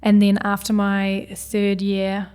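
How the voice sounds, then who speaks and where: A woman's voice: medium pitch, monotone, takes it slowly, a little mellow, smooth. A woman in her thirties, originally from Hungary, who speaks with a New Zealand accent and reflects thoughtfully as a guest scientist on a podcast. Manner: reflective, many "yeah" and "I guess" fillers. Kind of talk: podcast interview.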